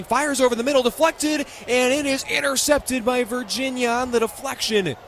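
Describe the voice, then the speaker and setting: A young American man calling live football on the radio as play-by-play announcer, in punchy, clipped, excitable phrases. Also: very high voice. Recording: live stadium ambience noise.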